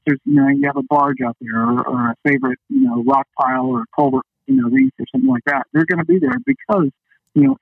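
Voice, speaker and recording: Guy calling into a podcast, lively swoopy voice kinda high, folksy storyteller, muffled phone sound. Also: gravelly, no room sound. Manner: chatty, folksy, peppered with "you know". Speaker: guest, fishing charter captain. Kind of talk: casual storytelling interview chat. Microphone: phone call. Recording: dull band-limited phone line.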